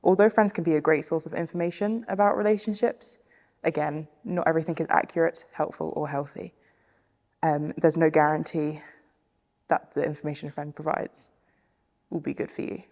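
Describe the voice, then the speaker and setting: A young woman presents prepared material for a training film. Her voice is low for a woman, and the room adds a long reverberant tail. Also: fairly even intonation, normal speed, darkish tone. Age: young adult.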